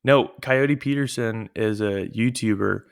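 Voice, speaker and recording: Guy with a big booming voice, casual podcast banter on a home mic, laughs a lot, kinda rambly. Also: unhurried, gravelly, clear, resonant tone, dry recording, clean studio sound. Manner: laughs often, loose and rambling. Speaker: podcast co-host. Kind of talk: casual chat.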